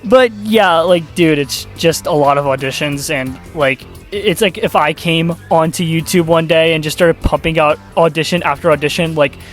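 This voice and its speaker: A man's voice: a little high, normal speed, hardly any pauses, faint music behind. A man giving casual, sarcastic YouTube commentary, rambling and swearing freely.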